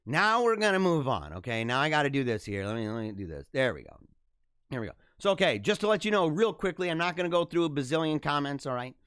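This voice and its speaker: A man's voice: a little high, sing-song, fast talker, a bit bright, clean tone, no room sound, clean studio sound. A man hosting a live-streamed talk show, chatting casually with viewers and reading their comments. He chuckles often and repeats phrases as he talks.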